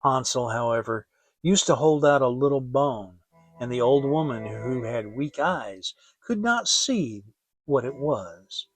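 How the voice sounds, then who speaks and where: A man giving an expressive, theatrical audiobook reading of a fairy tale as storyteller. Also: mid-range voice, unhurried, no echo.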